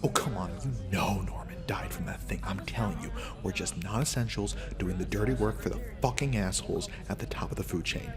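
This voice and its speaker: A man's voice: low-pitched, fairly quick, few pauses, music in the background, natural voice. A man acting a cynical, foul-mouthed janitor in an audio drama, griping casually to a buddy. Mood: bitter, alarmed. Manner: casual griping, heavy swearing.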